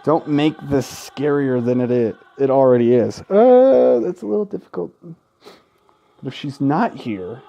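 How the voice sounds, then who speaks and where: A man doing gaming commentary, reading a visual novel aloud with casual, sweary asides and an expressive, dramatic delivery. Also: medium pitch, a little slow, creaky. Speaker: let's play host. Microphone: close gaming microphone.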